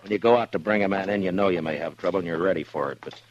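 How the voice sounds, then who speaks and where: An actor playing a stern, steady frontier marshal who speaks in a terse, calm and firm way, in 1950s radio drama style. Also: male voice, deep voice, racing, lo-fi sound.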